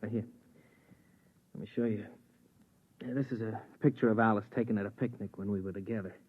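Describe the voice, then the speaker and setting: An actor playing an eager but nervous replacement crewman on a moon base, talking in a hesitant, halting way in a 1950s radio drama. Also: fairly low pitch, steady tone, lightly acted. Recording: tinny vintage radio broadcast.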